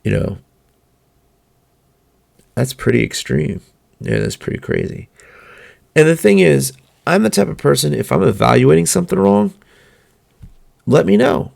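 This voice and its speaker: A man hosting a solo podcast, talking in an earnest, measured way with halting fillers, his voice going hoarse. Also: fairly high pitch, very animated, darkish tone. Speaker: podcast host. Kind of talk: solo opinion monologue.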